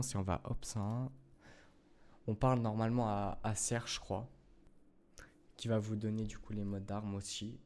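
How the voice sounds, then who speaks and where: A man's voice: fairly low pitch, mellow, slight background noise. A man talking through a gameplay tutorial in casual French, rambling with many fillers and self-corrections as he addresses his viewers. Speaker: gaming youtuber.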